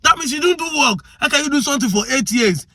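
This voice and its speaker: A man's voice: very high voice, expressive, fast talker, pushed and bright, dry recording, studio quality. A man with a Nigerian accent giving impassioned commentary, arguing hard and firing off rhetorical questions. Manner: argumentative, fires rhetorical questions.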